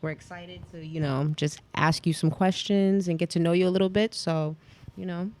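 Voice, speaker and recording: A woman's voice: lowish voice, steady tone, moderate pace, darkish tone. A female co-host who chats in an upbeat, eager way, cheering the guest on. She sounds like a casual podcast voice. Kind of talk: casual interview chat.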